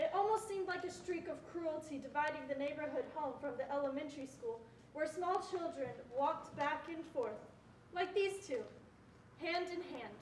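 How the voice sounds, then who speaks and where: A woman's voice: high voice, natural voice. A young woman, a student narrator reading a scripted story for readers theatre. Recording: echoey, distant mic, faint noise.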